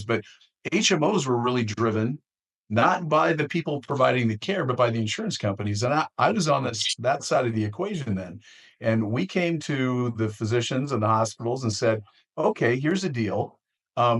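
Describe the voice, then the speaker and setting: A man's voice: medium pitch, fairly bright tone. A middle-aged or older American man hosting a conversational interview, with wry, folksy turns of phrase. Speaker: podcast host.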